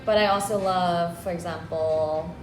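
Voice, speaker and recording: A woman's voice: on the low side, unhurried, over music. Young woman, echoey room. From Austria. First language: German. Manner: relaxed, thoughtful, many ums. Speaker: guest. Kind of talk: casual interview answers.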